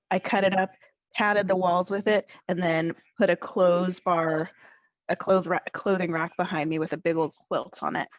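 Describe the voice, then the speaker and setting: A woman's voice: lowish voice, no echo, highs cut off. A woman actor telling casual anecdotes in an interview, hesitating as she searches for words.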